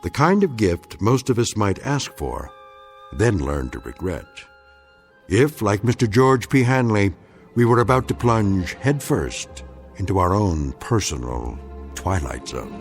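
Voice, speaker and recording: An actor narrating a radio drama in a wry, measured, theatrical storytelling manner. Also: male voice, on the low side, big pitch swings, faint music behind. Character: wry older male anthology narrator.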